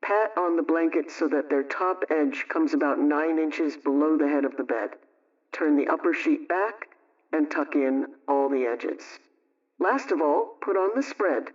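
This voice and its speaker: Higher-voiced man on dull old radio. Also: measured pace, far from the mic. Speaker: household advice program host. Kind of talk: scripted old-time radio homemaking chat.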